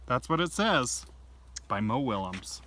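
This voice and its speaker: A man's voice: medium pitch, sing-song, measured pace, bright, forceful tone, far from the mic, a little hiss or hum. A man hosting a children's storytime, talking casually and playfully to young listeners. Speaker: children's library storytime host. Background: acoustic guitar.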